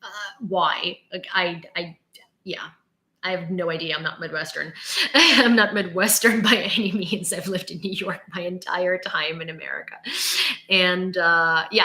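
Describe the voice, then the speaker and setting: A woman's voice: fairly low pitch, steady tone, pushed and bright. A Ukrainian-born woman in her late twenties with a near-American sound, hosting a live news stream and chatting sassily with viewers. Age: late 20s. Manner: chatty, sassy, quick asides to chat.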